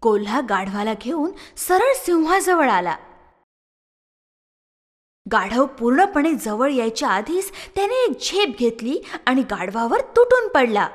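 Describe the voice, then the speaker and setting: Lady reading a kids story, high voice, really animated, big reverb on it. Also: a little slow. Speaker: narrator. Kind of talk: expressive scripted children's storytelling.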